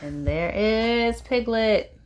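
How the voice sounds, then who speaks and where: A woman's voice: on the high side, expressive, takes it slowly, a little mellow. A woman casually hosting a children's story time as a mother introducing her young reader, speaking to young viewers. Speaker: host, mother introducing her daughter's read-aloud.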